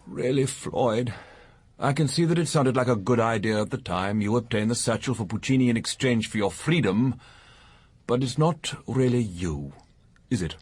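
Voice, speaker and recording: A man's voice: medium pitch, animated, moderate pace, darkish tone, breathy edge. An actor playing a suave, world-weary male entertainer in a radio drama, with arch, dry, theatrical phrasing. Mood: dryly amused.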